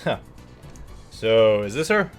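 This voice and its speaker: A man with a somewhat low voice talks casually over a video game as a co-commentator. His manner is laid-back and joking, with some casual swearing. Soft game music runs underneath. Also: big pitch swings, slow pace, clear, resonant tone. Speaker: co-commentator on a let's play. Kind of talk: casual chat over gameplay.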